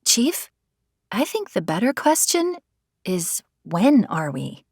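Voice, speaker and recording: A man voicing an impish, sunny young female AI companion in an audiobook, with a light, breathy, expressive delivery. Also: sits high, slow pace, no echo, clean studio sound, voice pushed up, exaggerated voice. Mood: uneasy. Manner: cheerful, expressive. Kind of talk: audiobook character voice.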